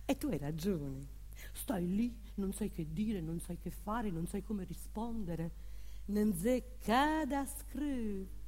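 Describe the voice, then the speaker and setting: An actress playing a woman pleading with and teasing her beloved in a slow, repetitive theatrical monologue. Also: mid-range voice, animated, mellow. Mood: coaxing, teasing. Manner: slow, drawn-out, repetitive, insistent delivery. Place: theatre hall, live stage performance. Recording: long hall reverb, live music behind.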